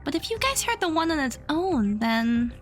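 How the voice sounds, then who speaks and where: Woman acting an earnest, high-voiced young defender. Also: very animated, normal speed, faint music behind. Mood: hesitant, uneasy. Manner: earnest, insistent. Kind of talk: anime-style audio drama.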